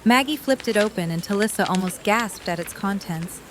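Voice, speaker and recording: A woman reading the narration of an audio drama in an even storytelling manner. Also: medium pitch, normal speed, slight background noise, poor audio. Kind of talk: scripted narration.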